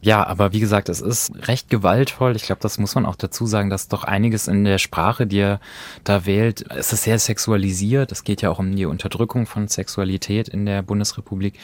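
A man's voice: on the low side, steady tone, a little fast, dry recording. A man hosting a conversational radio discussion, talking in a reflective, explanatory way. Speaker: podcast host discussing radio drama.

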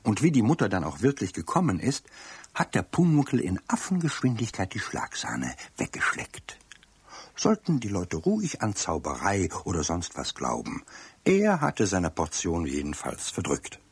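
A man playing a genial Bavarian storyteller, narrating a children's radio play in a husky, rough voice. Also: sits low, huge pitch swings, moderate pace, no echo, exaggerated voice. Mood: amused, confiding.

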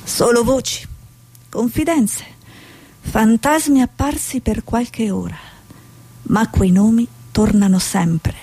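A haunted investigative reporter in a radio play, acted by a woman. Her voice sits fairly high and is noticeably breathy. She speaks as someone searching and driven, with theatrical intent. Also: slow pace, warm tone, exaggerated voice. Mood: brooding, investigative. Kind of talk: dramatic stage monologue and dialogue.

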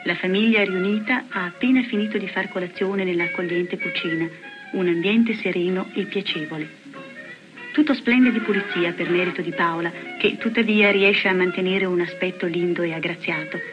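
A woman's voice: lowish voice, moderate pace. A woman reading prim, precise scripted narration in a vintage documentary style. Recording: dull lo-fi archive soundtrack with music.

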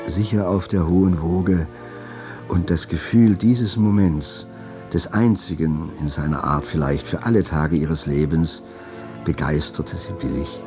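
A man's voice: sits low, measured pace. A man playing a composed literary narrator in a radio drama, telling the story in formal prose. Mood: composed, lyrical. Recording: dull sound under clear music. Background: music.

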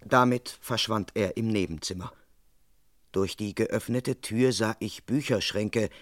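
A man's voice: fairly low pitch, no room sound, lightly acted. Formal, dry-sounding police constable played by a man. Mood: measured, tense. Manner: measured, formal, officious, precise. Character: dutiful, formal London police constable, narrating his own case. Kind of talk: German crime radio play, first-person narration and dialogue.

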